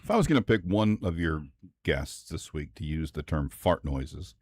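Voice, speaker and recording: A man's voice: rumbling low, animated, moderate pace, dry recording. A man co-hosting a sports talk show, teasing the guest with wry banter. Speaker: sports radio co-host. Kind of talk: casual sports radio banter.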